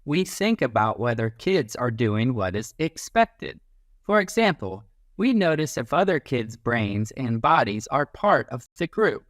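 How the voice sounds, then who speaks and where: A man reading a children's book aloud as a teacher, in an expressive, instructive way that leans on key phrases. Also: medium pitch, unhurried, dry recording, studio quality.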